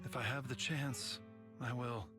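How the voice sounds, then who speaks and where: An actor playing a calm, world-weary male mentor in a video game, speaking in a measured, solemn way. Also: mid-range voice, over music, natural voice. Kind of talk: scripted game cutscene acting.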